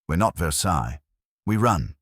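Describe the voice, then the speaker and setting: A no-nonsense woman baker as a male narrator acts her out in an audiobook mystery. She is blunt, bitter and terse, voiced low and husky. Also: big pitch swings, normal speed, fairly bright tone, no echo, clean studio sound, full character voice.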